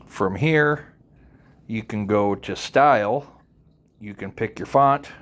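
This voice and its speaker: A man narrating an unscripted software tutorial, informally walking the listener through it step by step. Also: fairly low pitch, slow pace. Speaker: tutorial presenter.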